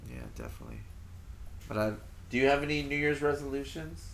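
A man's voice: medium pitch, huge pitch swings, fairly quick, creaky, fairly bright tone, slight background noise. A man co-hosting a casual podcast, with a nasal voice and a laid-back, rambling way of talking. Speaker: podcast co-host. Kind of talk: casual chat.

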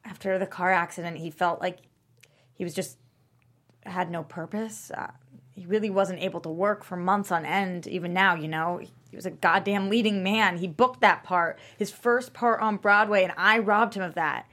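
An actress playing an anguished, guilt-ridden wife in a dramatic script read, speaking in a halting, naturalistic way. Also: female voice, lowish voice, fairly bright tone, close to an everyday voice. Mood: weary, pained. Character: anguished, guilt-ridden wife caring for her sick friend.

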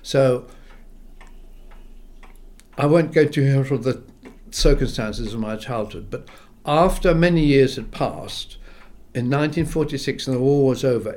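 Older English-born composer, rambling anecdotal interview guest, animated, mid pitch. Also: male voice. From England. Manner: rambling, animated, acts out quoted voices. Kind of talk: reminiscing interview.

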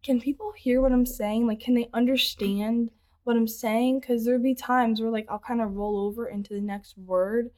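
A teenage girl chats about singing in a slightly high voice. She explains things in a loose, rambling way, padding her sentences with fillers. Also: steady tone, normal speed, darkish tone. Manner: chatty, explains with many "like" fillers. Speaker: guest singer. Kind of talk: casual chat about singing.

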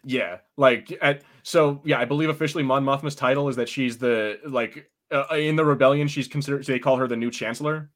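A man co-hosts a podcast in a middling-pitched voice. He talks in a casual, informal review style and swears freely. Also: steady tone, a little fast, few pauses. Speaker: podcast co-host.

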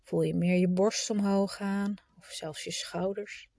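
Slow-paced woman guiding a meditation. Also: mid-range voice, fairly even intonation, creaky, warm tone. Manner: informal, instructive, with unhurried pauses. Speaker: meditation guide. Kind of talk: guided meditation and breathing exercise.

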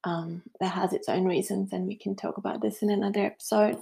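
A woman's voice: medium pitch, fairly even intonation, a little fast, vocal fry, warm tone, husky. A middle-aged woman from Switzerland with a Swiss German accent, hosting a casual solo podcast in a chatty, upbeat way with frequent fillers. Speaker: podcast host.

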